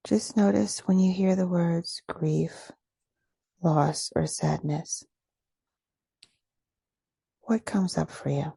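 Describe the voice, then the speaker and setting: Woman doing guided meditation, soft mellow voice w some creak, slow n soothing, really close dry sound. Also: lowish voice, steady tone, dry recording. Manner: soothing, unhurried, pausing between short phrases. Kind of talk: guided meditation, gentle scripted instruction.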